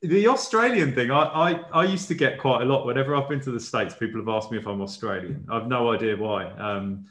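A man's voice: mid-range voice, brisk, few pauses, a bit bright. A man with an Essex accent hosting a podcast interview, chatty and jokey, asking loose, rambling questions. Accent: Essex English. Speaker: podcast host. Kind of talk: casual interview chat. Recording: roomy echo.

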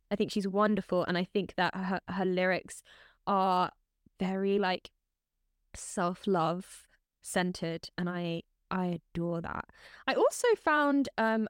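A woman's voice: fairly low pitch, no echo, clean studio sound. A woman co-hosting a podcast in casual chat, gushing and chatty, full of "like" and the odd swear word. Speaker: podcast co-host.

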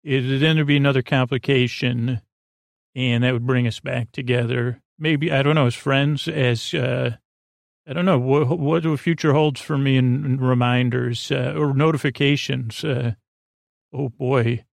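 Creaky, meandering male bedtime podcast host. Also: mid-range voice, fairly even intonation, dry recording, clean studio sound. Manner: meandering, halting, repeats and trails off mid-thought; silly, whimsical. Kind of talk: rambling bedtime monologue.

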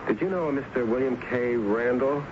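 A man acts out a male homicide lieutenant in an old radio play. He asks his questions casually but persistently, pausing as he goes. The recording is dull and hissy. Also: medium pitch, lightly acted. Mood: probing, polite. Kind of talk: 1970s radio drama acting. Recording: muffled, noisy 1970s radio broadcast.

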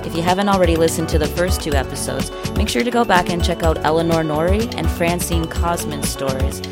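A woman's voice: lowish voice, a little fast, loud music behind the voice. A woman hosting a documentary podcast, reading a scripted narration. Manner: even, read-from-script delivery. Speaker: podcast host.

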